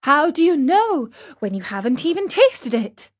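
A woman voicing a patient, motherly fairy in a storybook, who gently admonishes like a mother with a child. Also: high-pitched, very animated, a little slow, voice pushed up. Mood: patient questioning. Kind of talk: storybook character reading.

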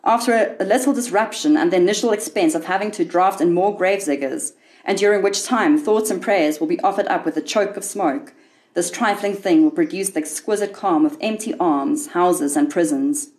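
A woman reading a literary short story in a measured, wry storytelling manner. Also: lowish voice, a little mellow. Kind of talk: scripted literary narration.

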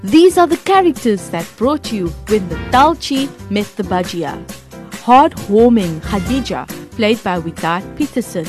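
A woman's voice: mid-range voice, expressive, unhurried. A woman reading scripted radio drama credits as an announcer. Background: music.

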